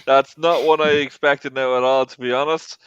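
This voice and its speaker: Clear, smooth male host, slightly muffled. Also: medium pitch, fairly even intonation, normal speed, a bit bright. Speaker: host of a movie debate show. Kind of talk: casual panel talk.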